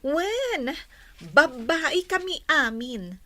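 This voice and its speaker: A woman's voice: high-pitched, very animated, extremely slow, noisy background, exaggerated voice. A woman with an Ilocano accent playing a coaxing, secretive teenage daughter in an audio drama. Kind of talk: Filipino audio drama acting.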